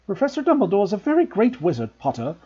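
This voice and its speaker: A strict older woman professor, clipped and commanding, as a man reads her in a raised, lively voice. The voice itself is dark and husky. The recording sounds dull and lo-fi. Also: sits high, fast, pitched above the performer's own voice, full character voice. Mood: stern.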